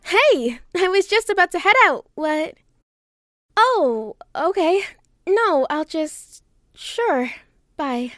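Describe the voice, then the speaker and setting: Woman acting a high-voiced, wry female agent. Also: slow, no echo, studio quality, full character voice. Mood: let down. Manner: wry, expressive. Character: sardonic, warm-hearted young female agent. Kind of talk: comic dub voice acting. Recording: music behind the voice.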